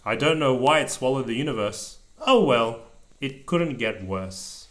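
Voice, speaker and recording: Male parent, medium pitch, storybook read-aloud for a young child with simple asides. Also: lively intonation, measured pace, a bit bright. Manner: simple explanations and pointing out pictures for a small child. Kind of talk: read-aloud of a children's picture book, with short explanatory asides. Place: indoors at a table over the open book.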